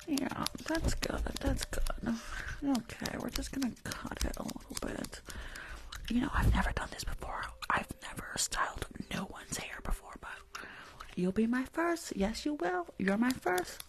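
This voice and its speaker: A young woman whispers close to the microphone in an ASMR livestream style. Her voice is high with a creaky edge, and she murmurs in short, repeated phrases as she drifts between roleplay and chat. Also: expressive, a little slow, low-quality recording. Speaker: ASMR creator and livestream host. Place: small indoor room at home. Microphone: close desktop microphone, earphones on.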